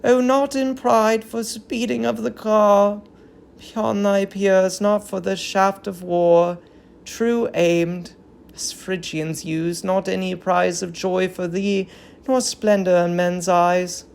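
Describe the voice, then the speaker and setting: A woman's voice: medium pitch, fairly even intonation, takes it slowly, gravelly, a little mellow, clear, resonant tone. A woman playing a grieving, dignified old queen in a Greek tragedy reading, with solemn, declamatory verse delivery. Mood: solemn grief. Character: grieving old queen mourning her dead grandchild, bitter, dignified.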